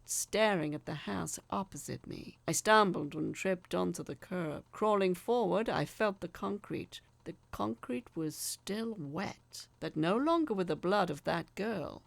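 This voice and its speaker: A woman's voice: on the low side, creaky, no echo. A woman reading a first-person horror story in a dramatic narrating style.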